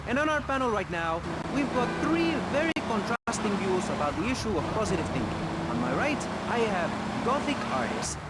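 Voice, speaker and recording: An actor playing a nervous, self-important public radio host with a fussy, flustered, pompous manner. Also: male voice, sits high, sing-song, brisk. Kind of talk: satirical radio talk show acting. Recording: loud car and street noise over it.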